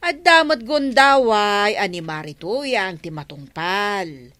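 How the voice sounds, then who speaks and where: A bossy village neighbour with an Ilocano accent, scolding in radio comedy style. Her delivery is unhurried and very animated, with a sharp, forceful tone in a dry recording. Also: medium pitch, dry recording. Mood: insistent.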